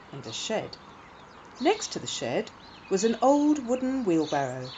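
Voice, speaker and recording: A woman reading a scripted children's story in an expressive, measured way. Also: on the low side, dull audio, slight background noise.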